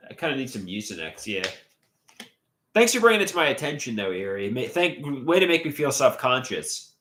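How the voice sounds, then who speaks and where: A man's voice: medium pitch, big pitch swings, a little fast, a bit bright, slight background noise. Stuffy-nosed man in an echoey room. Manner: rambling, hesitant, trails off mid-thought. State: stuffy, congested nose. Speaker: livestream host. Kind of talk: casual livestream chat, reading comments aloud.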